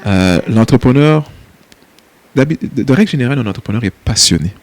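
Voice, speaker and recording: A man's voice: mid-range voice, lively intonation, fairly quick, slight background noise. A man from Quebec with a Québécois accent, speaking as an interview guest, hesitant with many fillers and easy laughs. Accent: Québécois French. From Quebec, Canada. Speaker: guest, nonprofit CEO. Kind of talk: casual interview chat.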